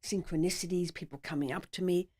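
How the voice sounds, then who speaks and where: A woman's voice: lowish voice, steady tone, fast, mellow, slightly rough. A middle-aged woman with an Australian accent, telling her story casually and in a rambling way as a podcast guest.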